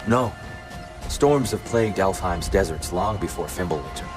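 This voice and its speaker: An actor playing a courtly, worldly elder in a video game, speaking in refined, measured and formal phrases. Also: male voice, sits low, lively intonation, over music. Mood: wistful.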